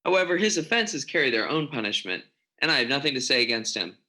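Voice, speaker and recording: A man's voice: mid-range voice, sing-song, fast talker, pushed and bright. A man playing a cheerful, good-humored young nephew in an amateur radio play recorded over a video call. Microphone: home video call mic.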